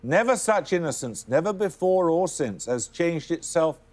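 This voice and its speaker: A man hosting a televised quiz in a crisp, dry, formal manner, reading questions. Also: fairly high pitch, animated, moderate pace, a little mellow. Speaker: quiz show host.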